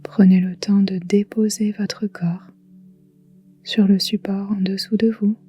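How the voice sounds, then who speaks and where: A woman guiding a relaxation meditation in a soft, whispery, calm and soothing voice, leaving long pauses. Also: mid-range voice, highs cut off, soft background music. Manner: soft, breathy, whispery delivery. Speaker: yoga nidra guide.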